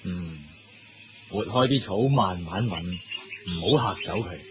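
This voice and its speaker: A man's voice: low-pitched, animated, unhurried. A man with a Hong Kong Cantonese accent acting a commanding, sly king out hunting in a radio drama. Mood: hushed, watchful. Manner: imperious, giving orders. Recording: dull lo-fi radio recording. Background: music.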